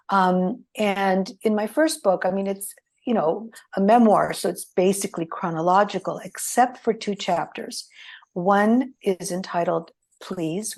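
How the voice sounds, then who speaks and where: A woman whose first language is Greek, speaking as an interview guest in a reflective, halting storytelling way. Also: mid-range voice, darkish tone. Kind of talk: interview conversation.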